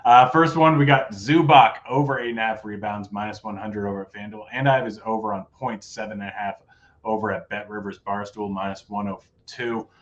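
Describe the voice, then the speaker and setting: A man's voice: lowish voice, fairly even intonation, a little fast, fairly bright tone, dull audio. A man hosting a casual sports betting show, talking through his picks in a laid-back way, his voice a bit worn. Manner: laid-back, rattles off odds. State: losing his voice.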